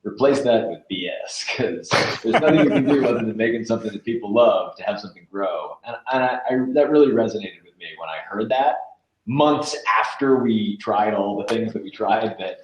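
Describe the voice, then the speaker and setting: A man chatting casually as an interview guest, thinking aloud in a halting, informal way. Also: lowish voice, animated, slight background noise. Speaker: podcast guest, actor and producer. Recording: roomy, echoing sound.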